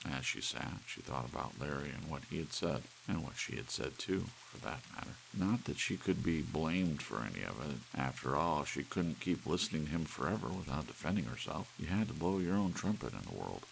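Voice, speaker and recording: A man narrating an audiobook in ASMR style, with soft rain behind him. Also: a bass voice, very animated, brisk, vocal fry, hardly any pauses, a little hiss or hum, low-quality recording.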